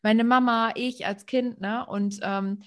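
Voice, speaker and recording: A woman's voice: a little high, fairly even intonation, slow. A woman co-hosting a podcast, telling a story in a rambling, chatty way and often adding tag questions. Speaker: podcast co-host. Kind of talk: casual storytelling chat.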